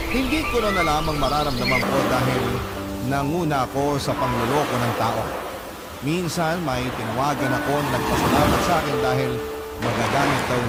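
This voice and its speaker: Man acting confessional Filipino narrator over loud music. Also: medium pitch, lightly acted. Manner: confessional, earnest storytelling. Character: remorseful young male scammer telling his life story. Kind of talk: scripted radio drama narration. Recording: loud music bed under the voice.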